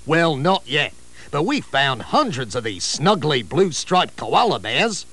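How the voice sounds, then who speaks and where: A man's voice: a little high, big pitch swings, a little slow, a bit bright, heavily acted. A man voicing a friendly Australian crayon bloke from the outback, laid-back and folksy, with an Australian accent. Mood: easygoing.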